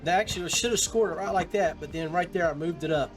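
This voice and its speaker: A man hosting a podcast, talking casually and instructively to viewers in a halting way, often repeating words. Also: sits high, over music. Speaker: podcast host.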